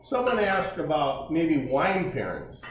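Slow-talking folksy man in an echoey kitchen. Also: on the high side, huge pitch swings, recorded from a distance. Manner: folksy, jokey, chatty while cooking. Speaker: home cooking show host. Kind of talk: casual cooking demonstration. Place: large home kitchen indoors. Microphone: camera mic at a distance.

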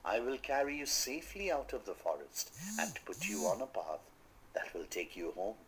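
A man doing a gentle, wise old elephant. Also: big pitch swings, moderate pace, a crowd in the background, low-quality recording. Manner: soft, low and kind.

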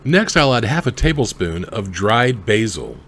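A man's voice: on the low side, very animated, unhurried, fairly bright tone, a little hiss or hum. A man hosting a home cooking show, explaining ingredients and measurements step by step. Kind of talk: recipe instruction voice-over.

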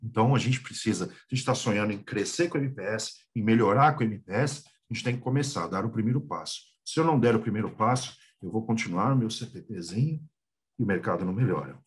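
A man's voice: on the low side, fast. A man with a Brazilian Portuguese accent, giving a business talk over a video call and often repeating small words as he thinks. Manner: lively, repeats small words, clears throat. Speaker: guest speaker.

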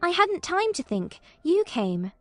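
A woman's voice: very high-pitched, big pitch swings, unhurried, no room sound, soft background music, voice pushed up, heavily acted. A woman voicing an earnest, guarded and stubborn genteel young girl in an audiobook. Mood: grave, evasive. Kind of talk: audiobook character acting.

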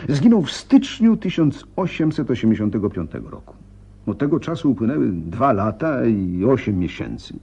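Frightened young gentleman, radio play, mellow husky voice, hesitant dramatic storytelling, muffled recording. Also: medium pitch, very animated, normal speed. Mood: troubled. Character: frightened, helpless young gentleman recounting family deaths.

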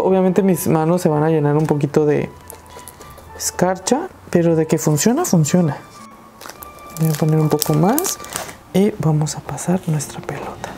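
A woman's voice: low voice, dark tone. A young woman with a Mexican Spanish accent hosting a craft tutorial, chatting and encouraging viewers as she works. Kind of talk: casual DIY tutorial talk.

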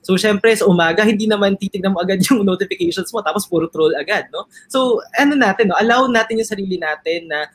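A man's voice: high voice, quick pace, hardly any pauses, breathy edge. A young man with a Filipino accent, explaining advice in a friendly, filler-heavy way on a video call panel. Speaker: panel guest, mental health advocate. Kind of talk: casual panel discussion. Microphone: laptop webcam on video call.

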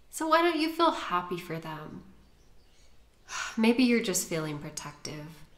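A woman playing a sick, sweet young woman flustered by a crush, in an intimate audio roleplay with halting asides to herself. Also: mid-range voice, a bit bright. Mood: self-doubting. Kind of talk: intimate one-sided audio roleplay acting.

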